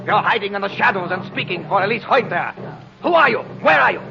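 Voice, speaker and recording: An actor playing an imperious, ranting mad scientist who poses as a god, in melodramatic old-time radio style. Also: male voice, high-pitched, expressive, quick pace, vocal fry. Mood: irritated, threatening. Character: megalomaniac male mad scientist posing as a god. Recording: muffled vintage radio, crowd noise behind.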